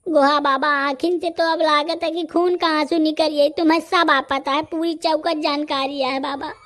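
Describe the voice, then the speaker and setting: A gossipy cartoon villager acted by a man rambles in a Bundelkhandi accent. The voice is pitched up high and sounds artificial, with an earthy, exclamatory way of talking. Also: steady tone, normal speed, a bit bright. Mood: admiring. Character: excitable, gossipy male villager in a comedy cartoon. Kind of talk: rustic comedy cartoon dialogue.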